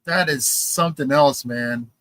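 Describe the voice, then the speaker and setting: A young man co-hosting a podcast in casual chat, enthusiastic and halting, with many fillers while reading news items. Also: mid-range voice, a bit bright, no echo. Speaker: podcast co-host.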